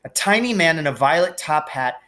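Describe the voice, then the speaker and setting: A man reading a storybook aloud in an expressive, measured and deliberate narration. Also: fairly high pitch. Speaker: storybook reader. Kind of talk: expressive audiobook-style narration.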